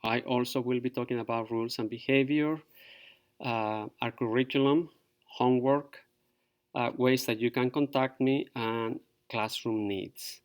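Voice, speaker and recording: Middle-aged teacher, halting. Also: male voice, medium pitch, fairly even intonation, clear, resonant tone. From Peru. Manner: halting, many fillers. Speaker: fourth grade teacher. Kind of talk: virtual open house presentation to parents.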